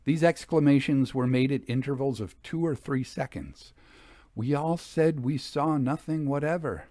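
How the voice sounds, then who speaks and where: A man reading a Victorian ghost story as scripted narration, in a measured storytelling delivery. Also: medium pitch, mellow, no echo, studio quality. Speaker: audiobook reader.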